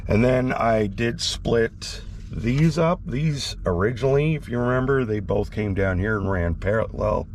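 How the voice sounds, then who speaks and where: A man's voice: medium pitch, measured pace, hardly any pauses. A man casually walking through his model railroad in the sleeper bunk of his semi truck, rambling with plenty of fillers, his voice a bit crackly from recent illness. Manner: rambling, relaxed, lots of fillers and restarts. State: slightly crackly voice, recovering from illness. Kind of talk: casual walkthrough vlog.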